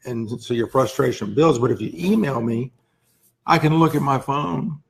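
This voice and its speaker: A man with a hoarse, laryngitic voice full of gravelly creak speaks at a slightly varying, medium pitch. He explains things informally to viewers in the manner of a lawyer giving advice. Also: moderate pace. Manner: frequent throat clearing. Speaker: lawyer hosting a firm's advice segment. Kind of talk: informal explanatory talk to camera.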